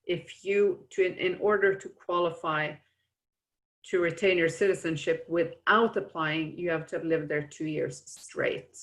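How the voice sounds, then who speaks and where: A woman with a lowish voice moderates a webinar, reading audience questions aloud in a steady way. Her voice comes through a video call. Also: unhurried. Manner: steady, reads questions, explains answers.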